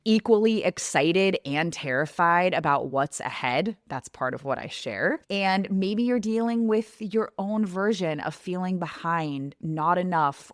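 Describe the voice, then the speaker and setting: A woman's voice: lowish voice, no room sound, clean studio sound. A woman in her thirties hosting her podcast, talking earnestly and reflectively straight to the listener. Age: 30s. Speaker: podcast host and coach. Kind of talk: heartfelt podcast monologue.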